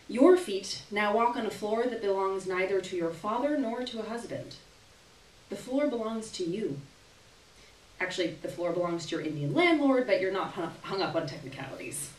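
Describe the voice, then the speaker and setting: A young woman gives an expressive, theatrical reading of a literary short story at a live event, with deliberate phrasing and dramatic pauses. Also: mid-range voice, a little hiss or hum. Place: small indoor gallery reading event. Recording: roomy live venue, distant camera microphone.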